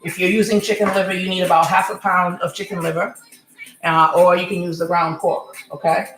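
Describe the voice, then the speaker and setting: A woman in her forties from Senegal hosting a casual live cooking stream from her home kitchen, chatty and rambling, often asking "okay?", with a slightly hoarse voice. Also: on the low side, level tone, a little fast, a bit bright, echoey room, soft background music, poor audio. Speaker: home cook, channel host. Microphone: computer or phone camera mic.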